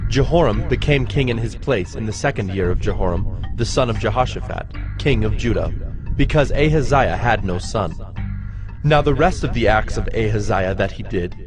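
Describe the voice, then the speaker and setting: A man narrating scripture from a script in a measured, formal manner. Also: lowish voice, animated, over music.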